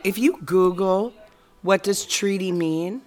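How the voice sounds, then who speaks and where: A woman acting as a serious, reflective friend in a naturalistic audio drama, speaking in a measured, deliberate way. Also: mid-range voice, darkish tone, lightly acted.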